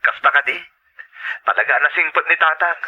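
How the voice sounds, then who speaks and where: An actor playing a hardworking, anxious and affectionate young husband in an audio drama, speaking earnestly. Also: male voice, fairly high pitch, a little fast, husky, narrow, tinny audio. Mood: affectionate, concerned. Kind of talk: Ilocano audio drama dialogue.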